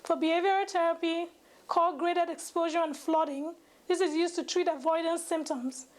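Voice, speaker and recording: Very high-pitched young woman presenting formally. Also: fairly even intonation, normal speed. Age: young adult. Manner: formal, careful reading with occasional stumbles. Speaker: medical student presenter. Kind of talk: scripted educational presentation.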